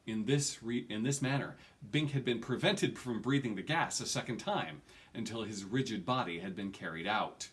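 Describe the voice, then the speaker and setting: A man's voice: lowish voice, moderate pace. A middle-aged man reading a fantasy novel aloud at home, expressively, stressing key words. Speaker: amateur book reader. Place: small furnished room at home.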